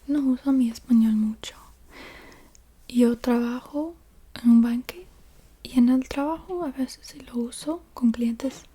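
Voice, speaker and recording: A woman speaks in a very breathy, whispery ASMR style, on the higher side in pitch yet extremely soft and mellow. She rambles casually at a slow pace, hesitating and playfully repeating words under her breath. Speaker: ASMR creator.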